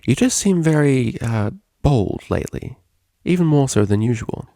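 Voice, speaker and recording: Man putting on a prim, sulky mare, pitched up. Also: lively intonation, normal speed, mellow, no echo, studio quality, close to an everyday voice. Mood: coyly probing. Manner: proper, wordy, huffy. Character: prim, sulky female cellist pony, easily exasperated.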